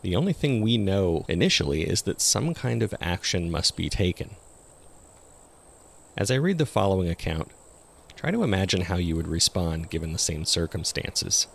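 A man's voice: deep voice, big pitch swings, normal speed, vocal fry. A man hosting and narrating a podcast, reading from a script.

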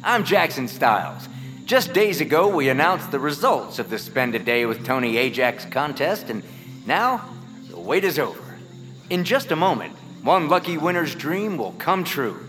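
A man playing a showy radio host and emcee with a booming showman delivery in an audio drama. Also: sing-song, moderate pace, fairly bright tone, soft background music. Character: showy male radio announcer.

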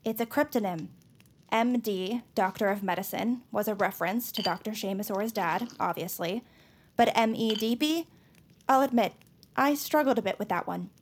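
A woman playing a nerdy, earnest, excitable young superfan in an audio drama, rambling through her explanations. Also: mid-range voice, moderate pace, a little hiss or hum, natural voice. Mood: eager, showing off. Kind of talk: scripted audio drama acting.

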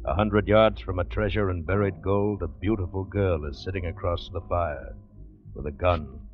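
Male thriller narrator, low, ominous, suspenseful, vintage tinny radio sound over music. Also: steady tone, moderate pace. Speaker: radio drama teaser narrator.

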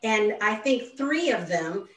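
A woman's voice: mid-range voice, big pitch swings, slow, fairly bright tone. Woman host, enthusiastic, echoey room, set back from the mic. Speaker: radio program host. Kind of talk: interview hosting. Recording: roomy and distant from the mic.

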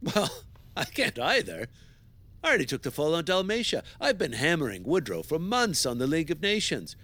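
An actor playing a cynical, exasperated political schemer with a sarcastic manner in an audio drama. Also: male voice, fairly high pitch, wildly swooping pitch, normal speed, a bit bright, dry recording, full character voice.

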